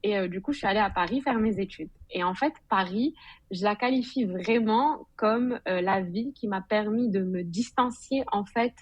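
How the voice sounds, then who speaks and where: A woman's voice: mid-range voice, moderate pace, no room sound. A woman from Morocco speaking as a podcast guest, telling her story in an informal, conversational way with bits of English slang. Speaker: podcast guest, digital consultant and blogger.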